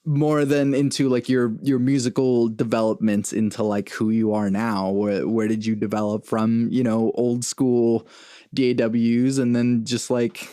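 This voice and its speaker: A man's voice: mid-range voice, little pitch movement, unhurried, dark tone, no echo, studio quality. A man from Venezuela who moved to the US as a child, hosting a casual interview with loosely phrased, informal questions. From born in Venezuela, moved to the US at six. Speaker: podcast host, interviewer.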